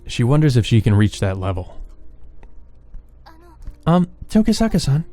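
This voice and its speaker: A man's voice: fairly low pitch, expressive, a little fast, dark tone, soft background music. A man reading out scripted visual novel narration as a let's play narrator.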